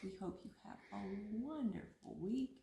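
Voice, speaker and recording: A woman reading a rhyming picture book aloud to children, expressively, pausing for a child to join in. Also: mid-range voice, gravelly, slight background noise. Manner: expressive, prompting a child to fill in rhymes. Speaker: story reader and co-host.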